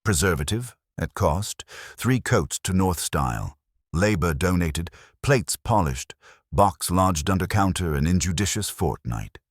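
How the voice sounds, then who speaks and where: A British man voicing a pedantic village shopkeeper in a mystery story, brisk, clipped and precise. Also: deep voice, lively intonation, slightly rough, no echo, clean studio sound, full character voice. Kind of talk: storybook character dialogue in a mystery.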